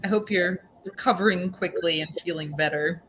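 A woman co-hosting a panel over a video call. She asks questions in a friendly, curious way. Her voice sits a little low for a woman. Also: moderate pace, a bit bright, slight background noise. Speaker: co-host asking questions. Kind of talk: casual panel talk. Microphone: webcam on video call.

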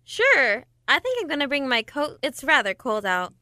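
Agreeable young girlfriend played by a woman, high bright voice, dry studio, radio drama. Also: sing-song, normal speed, no echo, exaggerated voice. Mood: polite. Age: young adult. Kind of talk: absurdist radio play acting.

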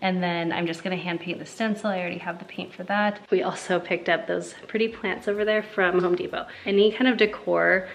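A woman's voice: on the low side, fairly even intonation, a little fast, few pauses, slight background noise. A young woman chatting casually to her handheld camera in her kitchen, full of little fillers as she talks. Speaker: family vlogger. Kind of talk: casual vlog chat. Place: home kitchen, indoors. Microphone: handheld camera at arm's length.

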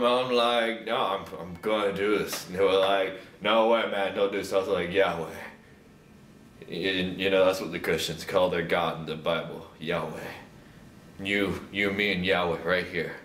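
A man playing a drunk, lovesick, melodramatic young guy, rambling haltingly and repeating himself as if on a comic voicemail. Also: sits low, steady tone, fairly bright tone. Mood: drunken rambling. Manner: slurred, halting, repetitive, overwrought. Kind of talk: comedic rambling voicemail monologue. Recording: roomy, distant, noisy home recording.

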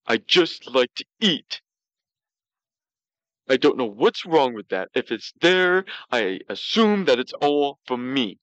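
A man voicing a pouty, self-justifying glutton who tells comic stories in a halting way. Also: mid-range voice, sing-song, fairly bright tone, dry recording, muffled recording. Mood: pouty, aggrieved. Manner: pouty, slow, halting delivery. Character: pouty, self-justifying, gluttonous male talk show storyteller. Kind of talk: comedic in-character storytelling.

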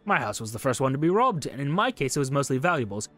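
A man's voice: medium pitch, sing-song, fast, fairly bright tone, faint music behind. A man voicing a nervous, self-serving witness in a historical game, explaining himself evasively.

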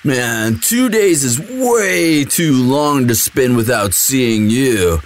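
Man acting a slow-talking, sweet himbo boyfriend. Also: mid-range voice, expressive, a little hiss or hum. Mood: affectionate. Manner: slow, dude-ish drawl full of likes and sighs. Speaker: audio roleplay performer. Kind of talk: intimate boyfriend roleplay, casual chat.

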